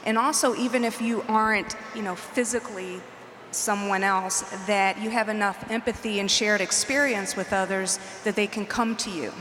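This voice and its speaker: Woman executive on a panel, even, steady delivery with little pitch movement, conference hall reverb. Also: moderate pace, a little hiss or hum. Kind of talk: panel discussion. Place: large conference hall stage. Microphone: handheld mic. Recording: roomy hall reverb, faint ambience.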